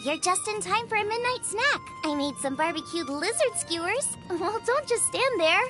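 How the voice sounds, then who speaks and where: A woman's voice: very high-pitched, expressive, normal speed. A woman voicing a cheerful, eager teenage girl chef in a video game, chatty and enthusiastic. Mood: perky, eager. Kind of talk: video game character voice lines. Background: music.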